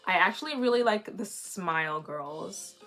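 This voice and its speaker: Woman, twenties, casual livestream host, chatty and rambling, slightly raspy sore throat, faint background music. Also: lowish voice, expressive, a little slow, a bit bright. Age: 20s. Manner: chatty, rambling, frequently restarting sentences. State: mildly sick with a sore throat.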